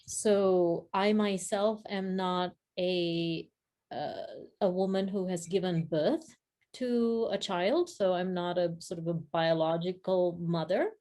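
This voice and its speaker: A woman's voice: fairly low pitch, mellow. A woman from Sri Lanka, a practice leader guest, speaking thoughtfully on a video call, searching for words and laughing easily. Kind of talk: reflective casual conversation.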